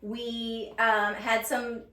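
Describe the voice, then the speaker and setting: Woman host, higher voice, giggly chatty storyteller, echoey room. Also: level tone, very slow, sharp tone. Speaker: podcast host. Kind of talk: casual chat.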